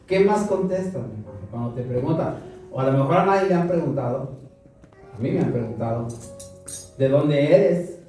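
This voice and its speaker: A man's voice: on the high side, animated, normal speed, warm tone, recorded from a distance. A Mexican man preaching a sermon to a congregation, asking them questions and repeating them back. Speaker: pastor. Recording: roomy echo from afar, music behind.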